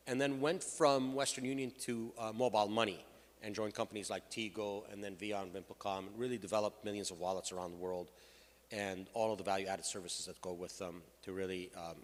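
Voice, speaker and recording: A man's voice: on the low side, fairly bright tone. A middle-aged man from Lebanon speaking as a panelist, telling his own story at a conference. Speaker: panelist, payments executive. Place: large conference hall. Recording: reverberant hall, PA sound.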